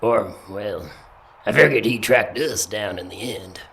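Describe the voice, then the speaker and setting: A man's voice: on the low side, a little slow, creaky, a bit bright. A man playing an easygoing, folksy drifter in an audio drama, rambling along. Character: folksy, easygoing drifter and diner odd-jobber.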